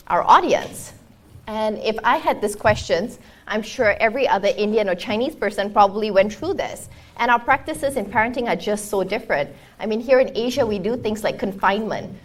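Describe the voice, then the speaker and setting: A woman in her thirties from Singapore with a Singaporean English accent, giving a conference talk in a chatty storytelling way with lots of quoted dialogue. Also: mid-range voice. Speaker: conference speaker, startup founder.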